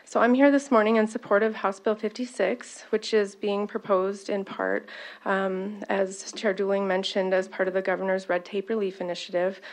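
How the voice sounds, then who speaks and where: Woman government finance officer, mid-pitched, loud voice, formal testimony peppered with fillers. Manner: loud-voiced, apologetic asides, many "um" fillers.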